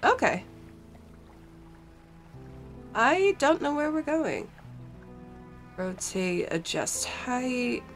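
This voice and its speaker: A young woman with a congested, stuffy nose talks casually through a game session, rambling and reading on-screen text aloud. She records at a desk mic in a cold basement. Faint game music sits low behind her. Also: sing-song, takes it slowly. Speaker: gaming channel host. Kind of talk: casual let's-play commentary. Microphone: desk mic while wearing headphones.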